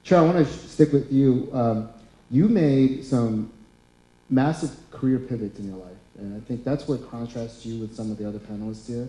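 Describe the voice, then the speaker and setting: A man's voice: medium pitch, moderate pace, warm tone, roomy sound, low-quality recording. A man in his thirties moderating a panel discussion, asking questions in a conversational, friendly way. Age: 30s. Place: lecture hall.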